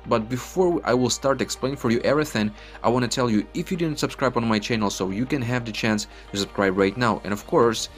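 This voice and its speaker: Ukrainian man, low voice, non-native English. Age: 20s. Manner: non-native English, chatty and repetitive, often says "guys". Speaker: online earning vlogger. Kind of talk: casual screen-recorded walkthrough.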